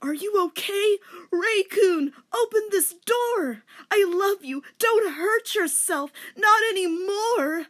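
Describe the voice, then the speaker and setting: A woman's voice: very high-pitched, lively intonation, slow pace, a bit bright, voice pushed up, heavily acted. A woman voicing a devoted, overwrought young boyfriend with wailing, protective declarations, in a comic dramatic reading. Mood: frantic, wailing. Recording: music under the voice.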